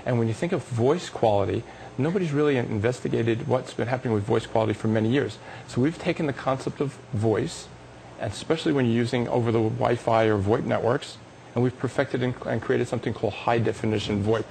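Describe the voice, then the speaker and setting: A man's voice: mid-range voice, brisk, gravelly, darkish tone, a little hiss or hum, low-quality recording. A man being interviewed as a company executive on a live business TV show, explaining technology with emphatic enthusiasm.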